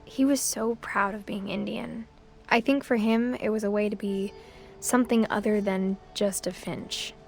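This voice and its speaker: A woman's voice: medium pitch, steady tone, moderate pace, creaky, a little mellow, lightly acted. A woman playing a pensive teenage girl who recalls her family in scripted game narration, understated and reflective. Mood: wistful, reflective. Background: music.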